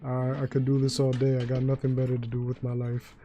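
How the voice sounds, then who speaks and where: A young man with a deep voice chatting loosely and profanely while streaming a game and taking chat requests. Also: completely flat delivery, fairly quick, highs cut off, over music. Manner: loose, profane, joking, sings badly on request. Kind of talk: casual gaming livestream chat.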